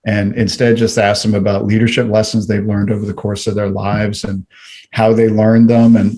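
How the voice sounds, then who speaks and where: A man's voice: lowish voice, flat delivery, normal speed, warm tone. A middle-aged man from Canada, a guest in an interview, talking reflectively with frequent fillers and restarts. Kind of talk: conversational interview.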